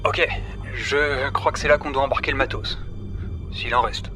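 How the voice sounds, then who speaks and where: A man's voice: mid-range voice, fairly even intonation, moderate pace, pushed and bright, faint music behind, lightly acted. A man playing a cautious, pessimistic salvage crewman who talks dryly and informally in a sci-fi audio drama. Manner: informal, dry.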